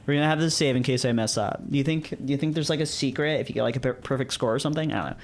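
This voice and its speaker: A man's voice: medium pitch, rapid-fire, soft background music. A man reading visual novels aloud and chatting casually as a streamer, often repeating himself in informal slang. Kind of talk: casual gaming chat and read-aloud narration.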